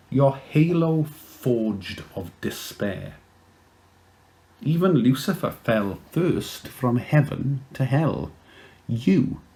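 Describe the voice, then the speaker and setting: Very slow, gravelly Welsh man. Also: medium pitch, dark tone. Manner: deliberate, theatrical. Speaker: poet. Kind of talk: dramatic poetry recital.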